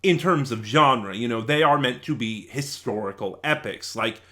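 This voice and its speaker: A man's voice: medium pitch, moderate pace, sharp tone. A man reviewing in a casual, unscripted way, rambling and often losing his thread and correcting himself. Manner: rambling, scatterbrained, self-correcting.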